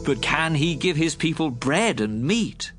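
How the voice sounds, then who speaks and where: A man's voice: on the high side, big pitch swings, a little slow, fairly bright tone, soft background music. A man voicing a defiant, doubting Israelite who challenges God in a scripture audio drama.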